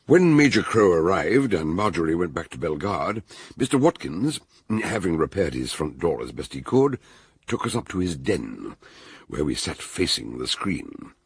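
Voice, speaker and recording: An eccentric, authoritative detective in a radio mystery. His voice is low and heavily husky. He speaks emphatically and theatrically, with hugely animated swings of pitch. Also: normal speed, heavily acted. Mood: measured narrating. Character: authoritative, blunt, eccentric male amateur detective doctor. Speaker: detective and narrator. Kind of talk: radio drama acting and narration.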